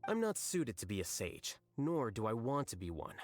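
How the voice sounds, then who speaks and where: A man's voice: mid-range voice, very animated, moderate pace, faint music behind. An actor voicing a detached, blunt young male scholar and official in a video game, speaking in a dry, matter-of-fact way.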